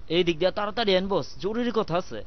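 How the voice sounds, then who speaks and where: A man's voice: high-pitched, animated, fast, no echo, lo-fi sound. A man with a Bangladeshi accent voicing a sly, lazy, grumbling cartoon cat in a colloquial comic dub. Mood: slyly polite. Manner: exclamatory, mocking, complaining.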